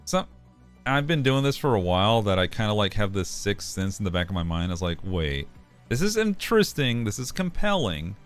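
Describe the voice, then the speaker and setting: Low-voiced, lively, easygoing male streamer. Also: moderate pace, faint music behind. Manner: laughs often, loose and joking. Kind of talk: casual livestream chat.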